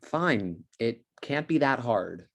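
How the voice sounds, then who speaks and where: A man's voice: medium pitch, animated, slow, vocal fry, a bit bright, slight background noise, heavily acted. A man playing a defensive, casual slacker of a brother in an amateur table read over a video call. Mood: breezy confidence.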